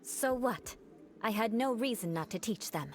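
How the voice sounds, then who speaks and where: A woman's voice: a little high, lively intonation, normal speed. A young woman voicing a proud, aloof noblewoman knight in a video game, speaking in a cool, composed and dry manner.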